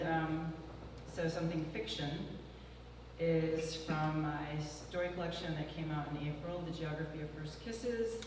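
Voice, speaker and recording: Soft-voiced woman author, casual and hesitant intros, far from the mic in a long-reverb hall. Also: sits low. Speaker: author reading her fiction. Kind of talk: live literary reading. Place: large former church hall. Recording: distant mic in a very echoey hall, hum of noise.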